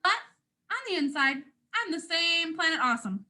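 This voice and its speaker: A woman's voice: very high voice, sing-song, normal speed, bright, forceful tone, full character voice. A woman with a North American accent voicing planet Earth as a proud, playful, ancient storyteller in a children's picture book, with dramatic emphasis.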